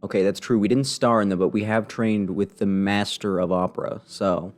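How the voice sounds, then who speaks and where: A man's voice: on the low side, fairly even intonation, moderate pace. A man who streams games, giving casual, flippant live commentary in muttered asides over the gameplay. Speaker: game streamer. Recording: game music behind the voice.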